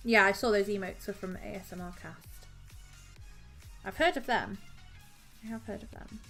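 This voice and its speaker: A woman's voice: medium pitch, moderate pace, a bit bright, faint music behind. A woman streamer chatting casually with her viewers while she cross-stitches, rambling and laughing often.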